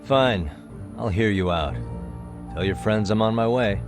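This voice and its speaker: A man's voice: fairly low pitch, unhurried, music in the background. A man playing a composed adult detective in a video game dub, dry, unruffled and wryly skeptical. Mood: wry, suspicious. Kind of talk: video game cutscene English dub acting.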